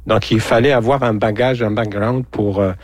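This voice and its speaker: A man's voice: lowish voice, steady tone, moderate pace, a little mellow, dry recording. A man from Algeria, a radio journalist, speaking as a guest in a radio interview and hesitating with many fillers and repeated words.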